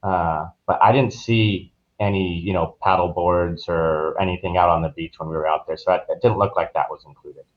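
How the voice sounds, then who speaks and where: A man's voice: sits low, fairly even intonation, moderate pace, few pauses, phone-quality sound. A man giving casual, easygoing, anecdotal answers as an interview guest. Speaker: travel agent, interview guest.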